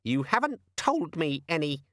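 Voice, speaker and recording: A man voicing a squeaky, cheeky, sulky little demon that lives in a pocket organizer, with a whiny, nagging manner. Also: big pitch swings, a little slow, bright, forceful tone, no room sound, clean studio sound, full character voice.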